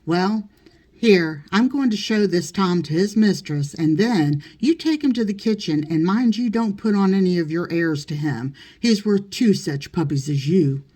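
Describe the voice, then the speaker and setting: Woman acting out a breezy, teasing gentleman master, mellow voice. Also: on the low side, animated, unhurried. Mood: teasing, careless. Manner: offhand, bantering commands.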